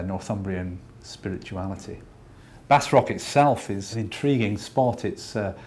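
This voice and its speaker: A man with a fairly low voice muses on his paintings, pausing often with soft fillers as he gathers his thoughts. The recording carries a faint background hiss. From Scotland. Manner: thoughtful, unhurried, with pauses and fillers. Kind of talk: reflective talk about his paintings.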